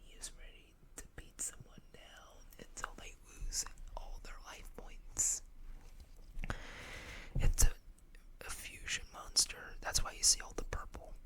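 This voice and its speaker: An ASMR host whispering softly and without hurry, reading out card text and adding casual asides.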